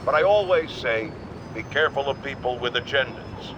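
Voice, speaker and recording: A man's voice: mid-range voice, lively intonation, moderate pace, noisy background, natural voice. An actor playing an opinionated middle-aged talk radio host who lectures his listeners. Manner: opinionated, preachy, emphatic.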